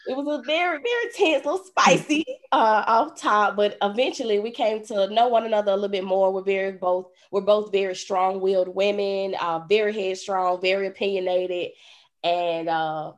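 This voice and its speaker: A young woman from Birmingham, Alabama, telling her own story in a chatty, lively podcast chat. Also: mid-range voice, normal speed.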